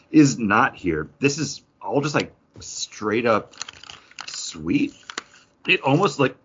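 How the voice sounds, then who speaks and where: A man's voice: fairly low pitch, a bit bright, muffled recording, slight background noise. A man hosting a casual podcast, chatting in an enthusiastic, conversational way. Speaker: podcast host.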